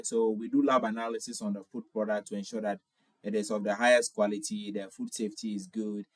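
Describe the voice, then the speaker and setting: A man's voice: fairly low pitch, no room sound. Ghanaian man hosting a livestream. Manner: friendly, repeats himself, reads viewer comments aloud. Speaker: livestream host. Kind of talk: casual livestream chat reading comments.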